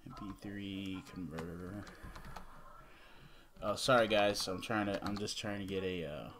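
A man in his thirties giving a casual, unscripted movie review as a vlog host, rambling and halting, with some mild swearing. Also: sits low, steady tone, fairly bright tone, slight background noise. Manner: rambling, halting, mildly profane. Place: small room indoors at home.

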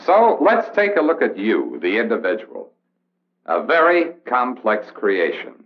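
An actor playing a serious Air Force officer instructor with an American accent, lecturing in a measured, formal way on an old training film. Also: male voice, mid-range voice, wildly swooping pitch. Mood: serious, instructive. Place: indoor briefing room film set. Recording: dull vintage film soundtrack.

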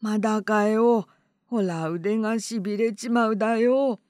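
A woman's voice: medium pitch, steady tone, a little slow, darkish tone, no echo, studio quality, close to an everyday voice. A woman voicing a meek, complaining male sidekick in a storybook reading, with plaintive rural speech. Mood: impatient, calling.

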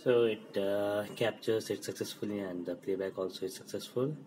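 A man giving an informal hands-on electronics demonstration in a hesitant, halting way. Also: fairly low pitch, steady tone, background noise. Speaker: presenter of an electronics demo.

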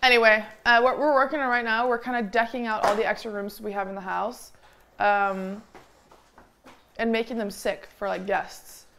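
Woman, late 20s, casual streamer, a bit high, teasing and profane. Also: steady tone, moderate pace, noisy background. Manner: chatty, teasing, swears freely. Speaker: streamer co-host. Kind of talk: casual live-stream chat. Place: home kitchen, indoors.

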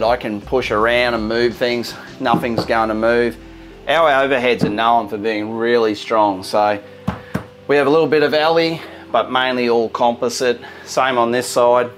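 A man in his forties leads a relaxed, upbeat tour of a caravan production line. His voice sits in a middle range, and faint music runs underneath. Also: mid-range voice. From Australia. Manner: upbeat, informal, gestures at fittings while walking. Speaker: company head giving a factory tour. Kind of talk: walk-through tour presentation. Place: large indoor caravan factory and van interior.

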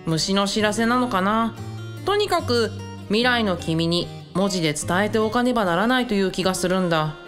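A woman playing an earnest, gentle young male student reading out his letter in measured radio drama monologue. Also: mid-range voice, expressive, close to an everyday voice. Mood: earnest, tender. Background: guitar music.

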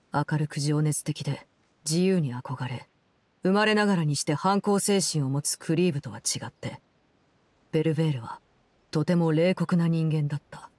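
An actress playing a cold, composed game villainess, telling a story in a measured, solemn and restrained way. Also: female voice, low voice, a little mellow, dry recording. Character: cold, composed woman, Fatui Harbinger who runs an orphanage. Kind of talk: scripted game story narration.

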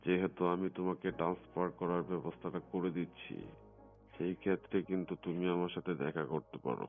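A man's voice: sits low, steady tone, fairly quick. A man speaking in a private phone conversation in a coaxing, insistent manner. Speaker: phone caller in a recorded conversation. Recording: muffled lo-fi phone recording with music.

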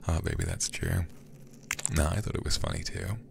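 A man with an extremely deep, crackling voice streams a game in ASMR style. He murmurs and whispers right at the microphone, reading with slow care. Noticeable noise sits behind his voice. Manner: hushed, breathy whispering, slow deliberate reading. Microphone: close-up ear-to-ear ASMR microphone.

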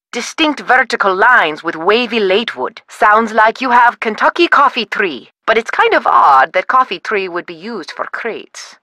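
A woman's voice: a little high, pushed and bright, dry recording. A woman voicing a polite, patient wood expert on a phone helpline in a video game, explaining step by step.

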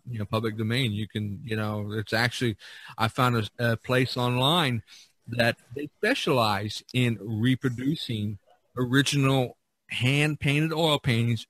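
A middle-aged or older man hosting a casual podcast discussion, talking haltingly with lots of repetition. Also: mid-range voice, sharp tone, dry recording. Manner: halting, repetitive, peppered with 'you know'. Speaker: podcast host.

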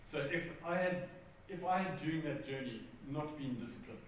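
Man in his fifties, chatty and relaxed, booming hall reverb, heard from afar. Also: fairly quick. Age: 50s. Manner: relaxed, chatty, laughs often. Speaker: adventurer and guest speaker. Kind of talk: live talk with audience questions. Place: large theatre hall with tiered seating. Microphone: far camera, no mic visible. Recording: very echoey hall from far off, noisy.

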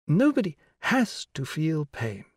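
A man voicing a kindly woman teacher in an audiobook, coaxing and instructing children with deliberate phrasing. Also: a little high, wildly swooping pitch, no room sound, clean studio sound, pitched above the performer's own voice, full character voice. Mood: calm, instructive. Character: Susila, tall kindly woman teacher guiding children's pretend games. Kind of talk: audiobook character voice.

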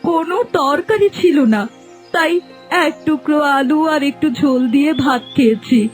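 A woman's voice: sits high, normal speed, faint music behind, lightly acted. A woman playing a frail, meek old mother-in-law in a cartoon folk tale, pleading in a weary, plaintive drawl. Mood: pleading, hurt. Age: older.

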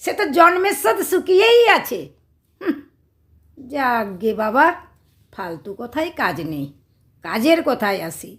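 A female actor plays a quarrelsome middle-aged wife in a domestic comedy radio play. Her voice is extremely high-pitched, with a West Bengal accent. She scolds and mocks in a sharp-tongued, theatrical manner. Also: sing-song, a little slow, pauses often. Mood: mocking. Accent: West Bengal Indian. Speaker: actress in an audio drama.

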